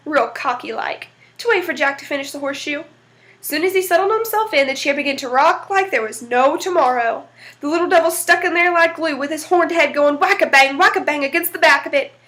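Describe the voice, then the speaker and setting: A woman reads a folktale aloud with folksy, dramatic color. Her voice sits high. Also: fairly quick, a bit bright. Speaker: storyteller. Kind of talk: read-aloud folktale narration.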